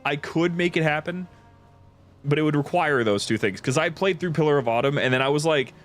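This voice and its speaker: A man's voice: on the high side, a little fast, fairly bright tone, faint music behind. A man hosting a gaming stream with informal, easygoing chat.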